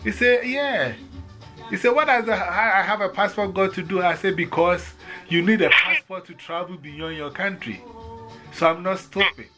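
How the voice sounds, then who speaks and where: Man, Ghanaian accent, high-pitched, bright tone, animated storytelling, acts out both sides of conversations. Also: normal speed, faint music behind. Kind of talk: casual chat.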